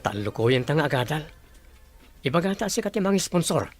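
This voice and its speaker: A man's voice: a little high, big pitch swings, a little fast, faint music behind. A man with a Filipino accent acting an earnest young man who wants to help, in a radio drama.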